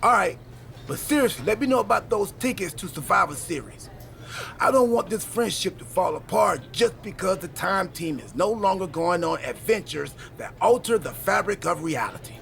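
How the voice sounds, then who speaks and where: A quirky, earnest buddy chats away in a video game cutscene. His voice is high and lively with a creaky edge, over faint noise. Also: moderate pace, soft background music, full character voice. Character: chatty, quirky, earnest male friend and time-travel teammate.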